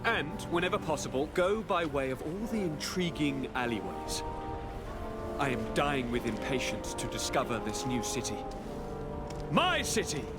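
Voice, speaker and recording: An actor playing a flamboyant, playful young noble governor in a video game, with flowery, pompous courtly speech. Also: male voice, mid-range voice, sing-song, normal speed, close to an everyday voice. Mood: grand eagerness. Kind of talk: dramatic video game acting. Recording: loud music behind the voice.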